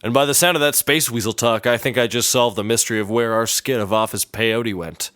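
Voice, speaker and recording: A man playing an exasperated detective agency colleague in a comedy audio drama, brisk and incredulous. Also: mid-range voice, fairly even intonation, a bit bright, no echo, clean studio sound, lightly acted.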